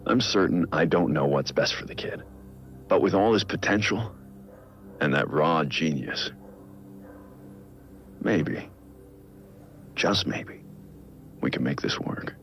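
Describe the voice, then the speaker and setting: An actor playing a brooding, guilt-ridden middle-aged vigilante who records grave, measured, introspective audio logs in a video game. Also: male voice, lively intonation, fairly bright tone, full character voice. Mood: brooding, doubtful. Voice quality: deep, gravelly. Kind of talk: scripted audio log narration, video game acting. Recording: muffled lo-fi audio log, music underneath. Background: music.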